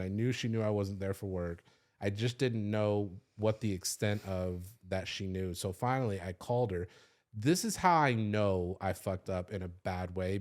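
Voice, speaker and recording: A man's voice: low voice, darkish tone. A man, telling a candid personal story on a podcast, loose and confessional, swearing freely with lots of "like". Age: 30s. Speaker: podcast host.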